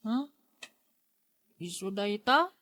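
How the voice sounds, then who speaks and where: A woman voices a young Ilocano schoolboy, sulky and missing his parents, in an audio drama. The voice sits at a middle pitch for a female speaker. The sound is dry, without room echo. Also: very animated, takes it slowly, sharp tone, full character voice. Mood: plaintive. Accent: Ilocano Filipino.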